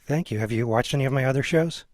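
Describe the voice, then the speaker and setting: A man's voice: mid-range voice, quick pace, a little mellow, dry recording, clean studio sound. An American man around forty hosting a casual interview, speaking informally and a bit hesitantly. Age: 30s or 40s. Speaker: podcast host, film teacher.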